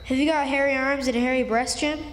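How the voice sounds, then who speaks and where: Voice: sits high, fairly even intonation, quick pace, a bit bright. A performer playing a plucky, skeptical country boy in a stage radio drama, talking in a plain, folksy dialect. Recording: reverberant hall, live stage performance.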